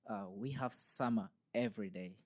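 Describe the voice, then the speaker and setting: A man's voice: on the low side, normal speed, a little mellow. A man from Uganda, a podcast interview guest who talks in a cheerful, explanatory way, recorded in a university podcast studio. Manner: cheerful, explanatory, laughs easily. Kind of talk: casual interview conversation. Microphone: podcast studio mic.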